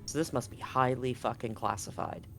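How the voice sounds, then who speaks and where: A man's voice: medium pitch, fairly even intonation, normal speed, vocal fry, a bit bright, slight background noise. A man narrating a live tabletop role-playing game as game master, explaining things conversationally. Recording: music playing behind the voice. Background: music.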